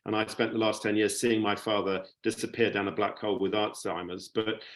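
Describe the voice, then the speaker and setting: A middle-aged man speaking as a panel guest, recalling personal memories in a halting way with restarted phrases. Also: fairly low pitch, level tone, rough recording. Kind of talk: reflective personal recollection.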